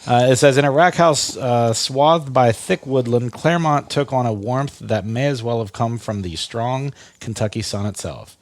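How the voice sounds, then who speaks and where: A man's voice: mid-range voice, moderate pace, few pauses, no echo. A man co-hosting a podcast, talking loosely and circling back on himself as he tells stories. His voice is a touch stuffy and nasal today. The recording is dry and close. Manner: rambling storyteller, many restarts and laughs. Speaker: podcast host. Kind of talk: casual tasting chat.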